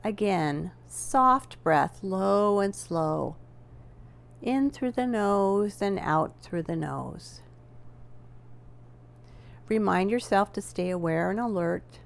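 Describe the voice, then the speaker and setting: A woman leading a guided relaxation as a yoga nidra instructor, giving gentle, measured instructions with deliberate pauses. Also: mid-range voice, vocal fry.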